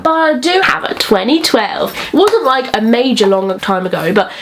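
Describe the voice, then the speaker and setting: A woman's voice: on the high side, big pitch swings, moderate pace, a little hiss or hum. A young woman with an English accent chatting informally in a vlog and reading aloud from old diaries in a self-mocking way. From England. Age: young adult. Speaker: vlogger.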